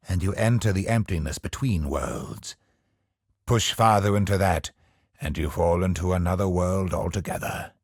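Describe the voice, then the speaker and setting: A tormented, godlike older man from a video game, speaks in a very low, badly husked voice. His delivery is slow, solemn and theatrical, heavy with menace and sorrow. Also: no echo, studio quality, full character voice. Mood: awed, solemn. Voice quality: husky, gravelly, breathy. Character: tormented, godlike older male scholar, grieving father turned menacing. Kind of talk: dramatic scripted game monologue.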